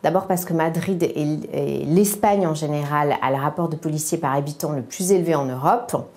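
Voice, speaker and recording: Female interviewee, low pitch, fluent, sure of herself. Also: normal speed, a little mellow. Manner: fluent, confident. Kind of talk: interview clip.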